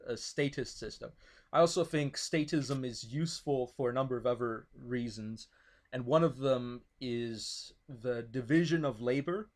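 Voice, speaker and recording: A man whose first language is not English, explaining his political ideas in a discussion, thoughtful, with many fillers and restarts. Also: mid-range voice. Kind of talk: casual discussion.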